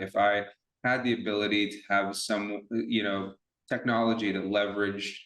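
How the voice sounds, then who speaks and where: Low-voiced male podcast host, echoey room. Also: fairly bright tone. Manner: conversational, rambling questions with restarts. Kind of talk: casual interview.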